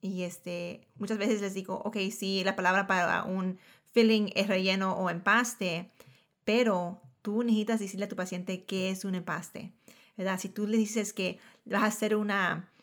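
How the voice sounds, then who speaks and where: A woman's voice: mid-range voice, fairly even intonation, moderate pace. A tired woman co-hosting a podcast as a dental hygienist, often searching for terms and switching into English. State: tired at day's end. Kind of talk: casual chat.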